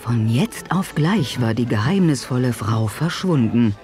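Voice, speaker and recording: An actor as the storyteller of a spooky tale, giving measured scripted narration in an audio drama. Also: male voice, mid-range voice, animated, over music, natural voice.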